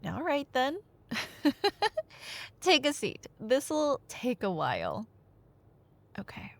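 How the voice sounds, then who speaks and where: A woman with a fairly high voice that swings widely in pitch as she tells a story in a casual roleplay style. She takes her time, pausing for drama, and rambles with playful, nerdy asides. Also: wildly swooping pitch, gravelly, no room sound. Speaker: ASMR roleplay audio performer playing a talkative girlfriend.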